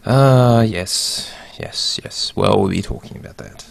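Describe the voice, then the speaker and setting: A man's voice: mid-range voice, vocal fry, no echo. A man co-hosting and producing a podcast, talking in a laid-back, wry way. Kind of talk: casual chat.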